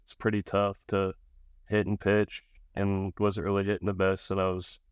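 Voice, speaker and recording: A young man speaks in a clean, resonant voice with very little melody, recorded dry and close. He answers interview questions casually, in a plain-spoken, low-key way. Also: completely flat delivery, normal speed, smooth, no room sound. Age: young adult, about 20. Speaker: college baseball pitcher being interviewed.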